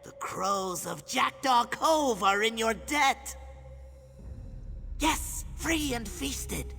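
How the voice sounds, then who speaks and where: An actress playing a gleeful, ravenous crow creature in a video game, theatrical and savoring each word. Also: female voice, fairly high pitch, animated, very slow, gravelly, pushed and bright, soft background music, exaggerated voice. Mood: gloating gratitude. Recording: long hall-like reverb.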